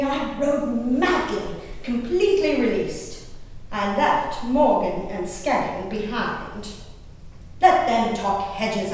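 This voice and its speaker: An excitable, willful young gentlewoman played by a stage actress confides her diary in a dramatic monologue. Her voice is high and unhurried, savoring each word expressively. It carries from a distance through a very echoey hall. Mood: exhilarated. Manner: dramatic, expressive, savoring words with emphatic pauses. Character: Elizabeth Simcoe, excitable, willful young English gentlewoman diarist. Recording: distant, echoey hall recording, faint noise.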